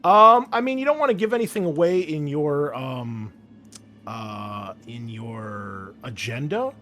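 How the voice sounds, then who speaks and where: A man's voice: fairly high pitch, expressive, unhurried, sharp tone. A man acting as game master, narrating and explaining casually and hesitantly to players.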